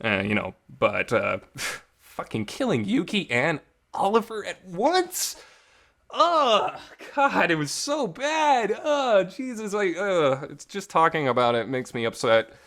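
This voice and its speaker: A man's voice: high voice, sing-song, takes it slowly, a bit bright. A man giving a casual, unscripted review as host, talking emotionally and emphatically with some mild swearing. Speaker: host of a visual novel review show. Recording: reverberant amateur home recording.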